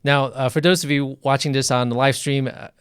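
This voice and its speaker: A man with a smooth, clean voice at a medium pitch hosts a conversational interview. He speaks haltingly and often repeats a phrase before going on. The sound is dry, from a treated room. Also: steady tone, fairly bright tone, no room sound. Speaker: physician interviewer hosting a livestream.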